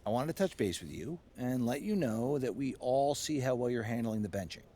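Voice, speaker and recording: A man's voice: medium pitch, lively intonation, brisk, warm tone, lightly acted. A man playing an upbeat, supportive and slightly awkward basketball coach in a game cutscene, earnest and a bit corny. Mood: encouraging, earnest. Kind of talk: video game cutscene dialogue.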